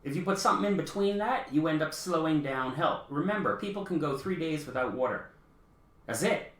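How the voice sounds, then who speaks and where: A man's voice: on the high side, animated, a little fast, a bit bright. A man giving his opinions as a talk show panelist, blunt, insistent and argumentative in political discussion. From the Bahamas, Canadian Bahamian. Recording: echoey room.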